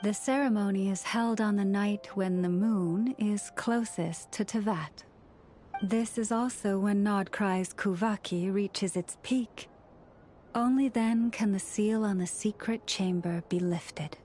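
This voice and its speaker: A woman playing a young moon priestess in a video game, solemn, gentle and earnest, speaking in a measured, formal, reverent way. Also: mid-range voice, faint music behind, lightly acted. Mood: apologetic, earnest. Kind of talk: video game cutscene acting.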